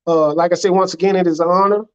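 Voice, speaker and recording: A man's voice: high-pitched, steady tone, fast, clear, resonant tone. An American man speaking as an imam, giving an earnest, plainspoken religious address that leans on repeated phrases. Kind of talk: sermon, religious public address. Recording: dull, lo-fi recording.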